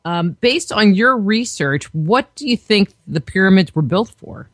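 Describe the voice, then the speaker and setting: A woman's voice: medium pitch, animated, measured pace, slight background noise. A woman hosting a radio interview show, asking questions in a chatty, conversational way. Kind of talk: casual interview chat.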